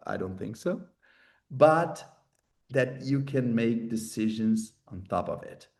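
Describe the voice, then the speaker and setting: A man's voice: medium pitch, expressive, takes it slowly, a little mellow. A man who is a native Portuguese speaker, explaining his points conversationally as an interview guest. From Brazil or another developing Portuguese-speaking country. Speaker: guest expert and author.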